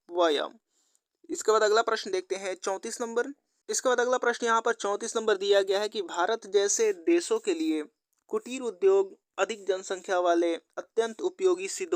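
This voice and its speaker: A woman narrates an exam-practice lesson as a teacher. Her voice is smooth and clear at a middle pitch, with very little room sound. She is methodical and instructional, restating each question and answer. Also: no room sound, studio quality. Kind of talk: scripted quiz lesson narration.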